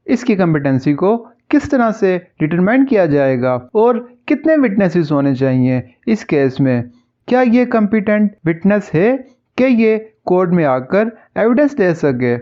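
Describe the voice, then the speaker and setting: A law tutor with a Pakistani accent giving a scripted lesson, reading statute and explaining it in a deliberate, instructional way. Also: mid-range voice, darkish tone. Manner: deliberate, instructional, reads English passages word by word. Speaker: law tutor, channel host. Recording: dull lo-fi muffled recording.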